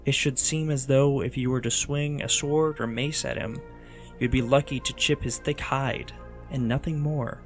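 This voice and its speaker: Male video host for dramatic, tongue-in-cheek narration, medium pitch, music underneath. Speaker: video host, 3D monster artist. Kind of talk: scripted voice-over narration with comic asides. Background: background music.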